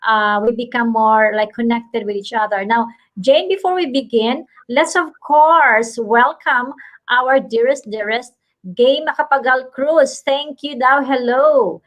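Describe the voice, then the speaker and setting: A chatty Filipina woman with a Filipino accent hosts a casual online talk show. Her voice sits a little high. She gushes and piles on warm greetings. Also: measured pace, low-quality recording. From Philippines. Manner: effusive, chatty, gushing greetings.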